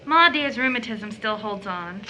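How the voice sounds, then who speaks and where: A bright, lively young woman. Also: fairly high pitch, measured pace, a little hiss or hum, heavily acted, low-quality recording. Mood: friendly. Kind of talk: stage-style audio drama acting.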